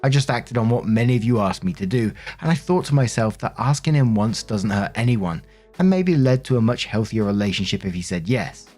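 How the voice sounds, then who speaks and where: A man's voice: fairly low pitch, animated, a little fast, a little mellow, soft background music. A man narrating online stories aloud as a show host, conversational and informal, with casual commentary.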